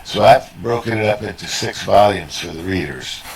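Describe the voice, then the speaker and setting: An older man with a rough, gruff voice, answering as an interview guest in a halting, self-effacing, conversational way. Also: on the low side, slight background noise, low-quality recording. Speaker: interview guest, retired surgeon.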